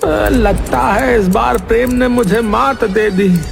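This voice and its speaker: Man acting a high-pitched scheming village barber. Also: takes it slowly, gravelly, music in the background, heavily acted. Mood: dismayed, whiny. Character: scheming, gossip-peddling village barber, excitable and cunning. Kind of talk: Hindi cartoon moral-story acting.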